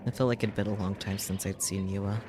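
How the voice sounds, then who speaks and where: A man's voice: fairly low pitch, fairly even intonation, brisk, very noisy. A man reading a visual novel's script aloud as a streamer, in a dramatic storytelling style. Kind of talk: dramatic read-aloud narration of a game script.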